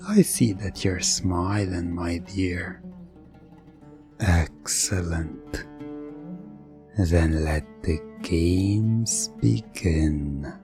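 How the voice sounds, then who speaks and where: A man doing hypnosis ASMR roleplay as a teasing, theatrical game show host, softly coaxing the listener into trance. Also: low voice, music in the background. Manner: slow, teasing, theatrical showman; soft, coaxing hypnotic induction.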